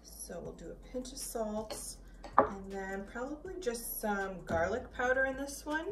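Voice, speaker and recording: A woman's voice: mid-range voice, animated, a little slow. Chatty woman in an echoey kitchen. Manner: chatty, easygoing, tastes as she goes. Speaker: cooking vlogger. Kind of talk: casual cooking demo. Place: home kitchen. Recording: echoey kitchen, voice a bit far from mic, background noise.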